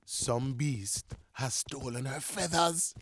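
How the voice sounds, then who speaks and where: Man as a very slow, deferential cartoon animal. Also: medium pitch, noisy background, lightly acted. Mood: deferential, scheming. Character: eager, deferential animal subject of a king. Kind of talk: animated children's cartoon acting. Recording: music underneath.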